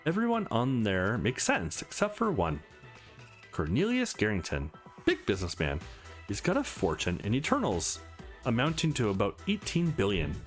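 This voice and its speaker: A man performs a young woman hacker from a visual novel game. She is nerdy and eager, with a playful, cocky edge. Her delivery swings widely in pitch over faint background music. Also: wildly swooping pitch, normal speed. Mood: eager, confiding.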